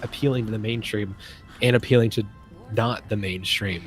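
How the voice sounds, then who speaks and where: A man's voice: fairly low pitch, fairly even intonation, moderate pace, soft background music. A man chatting casually on a stream, rambling enthusiastically and often trailing off and restarting.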